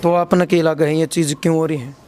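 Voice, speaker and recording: A man's voice: a little high, flat delivery, fast, a little mellow, a little hiss or hum. A man with a Haryanvi accent working as a reporter, interviewing people and restating their accounts in a repetitive, brotherly way of addressing listeners. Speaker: local reporter interviewing a family. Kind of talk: on-location interview with spoken summaries.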